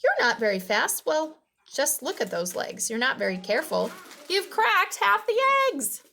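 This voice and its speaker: Lady doing a tiny fussy mouse from a kids story, squeaky and high, faint noise behind. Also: very animated, normal speed, gravelly, fairly bright tone, full character voice. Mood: critical. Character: squeaky, bossy, fussy little mouse helper.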